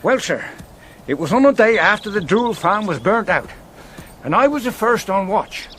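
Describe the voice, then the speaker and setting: A man's voice: sits high, big pitch swings, normal speed, a bit bright, slight background noise. An actor playing an earnest, wary village watchman in a radio drama, plain-spoken and deferential. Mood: earnest reporting.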